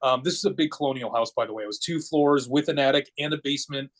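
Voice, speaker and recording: A forty-year-old American man shares personal stories as an interview guest. He speaks in a medium-pitched voice, casual and matter-of-fact, wandering through his phrases as he goes. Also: fast talker. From Connecticut, United States. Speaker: podcast guest.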